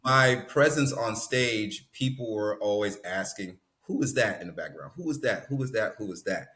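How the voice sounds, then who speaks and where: Man, young adult, bass voice, animated storyteller, laughs a lot, interview guest. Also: normal speed. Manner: animated, laughs often, acts out voices in stories. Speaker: guest, actor and musician.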